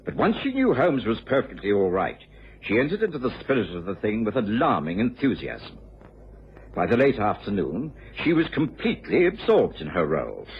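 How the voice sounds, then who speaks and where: An actor playing an earnest, gentlemanly doctor, the loyal companion of a detective, in an old-time radio drama. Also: male voice, on the low side, slight background noise. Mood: composed, storytelling. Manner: measured, expressive storytelling, easily flustered. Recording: muffled old radio recording.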